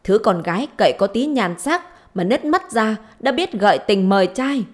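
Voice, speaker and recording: A woman's voice: mid-range voice, very animated, moderate pace. A woman voicing a spiteful, foul-mouthed middle-aged village wife in a story, scolding, wailing and taunting.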